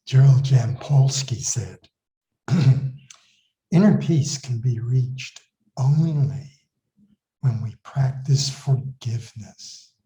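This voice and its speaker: A man speaking as a meditation co-host, delivering spiritual greetings and teachings in deliberate, short phrases. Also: medium pitch, dark tone, roomy sound, low-quality recording. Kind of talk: measured spiritual greeting and recitation.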